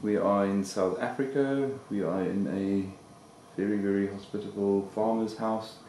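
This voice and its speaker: A man's voice: lowish voice, fairly even intonation, measured pace, a little mellow, reverberant, a little hiss or hum, rough recording. A man, an exchange student, talking wryly to the camera in a video diary.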